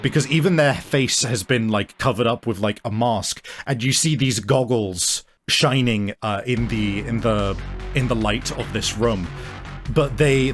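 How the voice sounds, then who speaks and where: A man runs a tabletop roleplaying game over clearly audible music. He improvises descriptive, suspenseful narration with a halting delivery, in a mid-pitched voice. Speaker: game master. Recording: music behind the voice.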